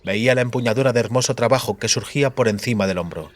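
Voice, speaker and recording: A man's voice: medium pitch, quick pace. A man reading scripted fantasy narration as an audiobook narrator.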